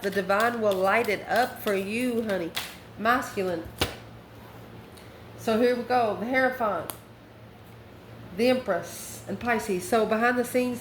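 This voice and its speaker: Folksy woman reading tarot in echoey room. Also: medium pitch. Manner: folksy, emphatic, addresses listeners with endearments. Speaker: tarot card reader and channel host. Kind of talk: informal spoken tarot reading. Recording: roomy echo, faint background noise.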